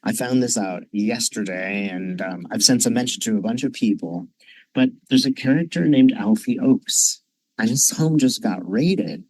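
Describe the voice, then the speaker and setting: A man's voice: on the low side, lively intonation, moderate pace, a little mellow, no echo, rough recording. A man hosting a commentary video, rambling and conspiratorial, with many hesitant fillers. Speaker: channel host. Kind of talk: casual commentary.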